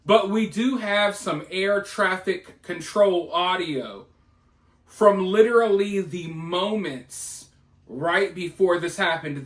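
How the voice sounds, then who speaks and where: Male news vlogger, high register, casual opinionated commentary, recorded with noticeable room echo. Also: fairly bright tone. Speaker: host of a news commentary vlog. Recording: roomy, echoey voice recording.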